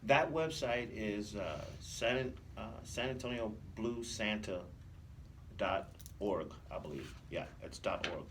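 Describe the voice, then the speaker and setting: A man with a lowish voice gives informational answers as an officer on an interview show. He explains hesitantly, sounding a little away from the microphone with background noise around him. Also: lively intonation, slow pace, fairly bright tone. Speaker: police officer, interview guest. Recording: distant mic, background room noise.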